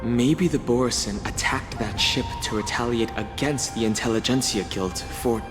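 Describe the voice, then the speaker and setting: A man voicing a reflective investigator in a video game, pondering in measured phrases. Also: mid-range voice, big-room sound, over music, natural voice. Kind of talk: scripted video game dialogue.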